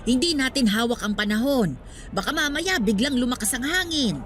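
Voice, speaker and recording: A woman's voice: fairly high pitch, animated, normal speed, a bit bright, slight background noise. A woman playing a bossy, nagging elderly street vendor wife in a radio drama. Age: older. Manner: nagging, scolding, familiar.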